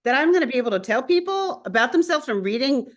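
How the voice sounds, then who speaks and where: A woman's voice: high voice, expressive, quick pace. Earnest woman hosting an interview. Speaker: astrologer and show host. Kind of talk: interview conversation.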